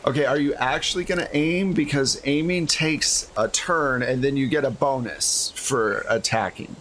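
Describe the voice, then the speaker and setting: A man's voice: medium pitch, normal speed, fairly bright tone, a little hiss or hum. A man running a tabletop game, narrating casually as game master with a jokey streak.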